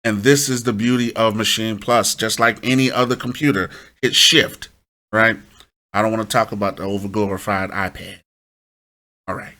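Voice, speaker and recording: A man's voice: lowish voice, steady tone, normal speed, sharp tone. A man hosting an informal step-by-step tutorial, instructing and often checking in with short tag questions. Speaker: host of a music production tutorial.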